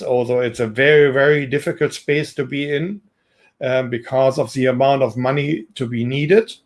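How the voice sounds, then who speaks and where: Man with a German accent, somewhat high voice, measured businesslike pitch-style talk. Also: a little mellow. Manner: measured, businesslike, with frequent ums. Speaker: investor and entrepreneur. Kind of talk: self-introduction to camera.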